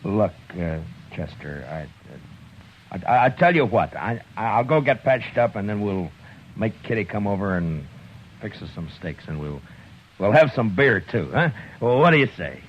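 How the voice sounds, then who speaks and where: An American actor playing a battered, gruff but loyal frontier lawman in a halting manner in a 1950s radio western. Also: on the low side, big pitch swings. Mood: coaxing. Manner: halting, repeats words. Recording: muffled, noisy 1950s radio recording.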